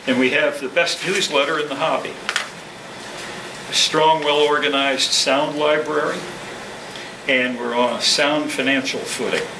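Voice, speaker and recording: A man speaking as club president, reading a formal, measured speech to a meeting. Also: a little high, steady tone, distant mic. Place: indoor club meeting room. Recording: echoey meeting room from afar, noisy hum.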